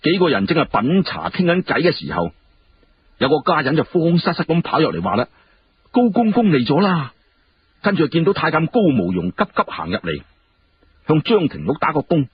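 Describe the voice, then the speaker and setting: Animated male storyteller. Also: a little high, fairly quick, dull audio, a little hiss or hum. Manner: animated, colloquial, conversational asides. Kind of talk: dramatic Cantonese audiobook storytelling.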